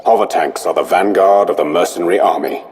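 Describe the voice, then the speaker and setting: An actor playing a solemn, grave observer of war in a video game, speaking formally and weightily. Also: male voice, low voice, brisk, darkish tone, faint music behind, natural voice. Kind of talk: scripted video game dialogue. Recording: long hall-like reverb.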